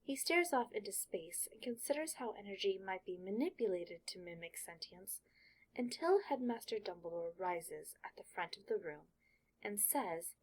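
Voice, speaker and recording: A woman narrating a fan fiction audiobook in an expressive storytelling manner. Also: mid-range voice, moderate pace, rough recording.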